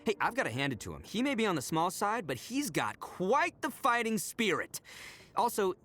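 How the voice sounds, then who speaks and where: An actor playing a brash, goofy young horned oni gang leader in a video game, boisterous, boastful and slangy, with theatrical swings. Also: male voice, on the high side, fairly bright tone, exaggerated voice. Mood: warm, musing. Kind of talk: video game character voice acting.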